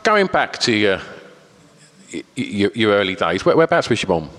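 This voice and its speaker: A man hosting a podcast, asking questions in relaxed casual chat with many yeahs and restarts. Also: lowish voice, a little fast. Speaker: podcast host. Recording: long hall reverb.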